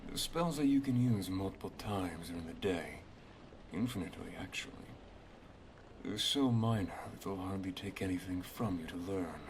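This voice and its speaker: A man playing a protective, affectionate wizard master in an ASMR roleplay, speaking in a hushed, gently instructive way that often slips toward a whisper. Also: sits low, moderate pace, a little hiss or hum. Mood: tender, instructive. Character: protective, affectionate male wizard master teaching his apprentice and lover. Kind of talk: ASMR roleplay, intimate second-person monologue.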